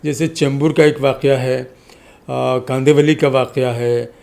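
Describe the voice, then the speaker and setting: A man's voice: medium pitch, fairly even intonation, measured pace, gravelly, darkish tone. A man speaking as an interview guest, arguing politics emphatically and oratorically with repeated parallel phrases. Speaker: podcast guest, community activist. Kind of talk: impassioned political interview talk.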